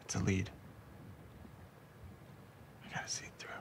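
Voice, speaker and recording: An actor playing a worn-out young male soldier who talks low and quiet, often close to a whisper, in a video game cutscene. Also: measured pace, natural voice. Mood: tired. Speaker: game character. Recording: game audio with music score.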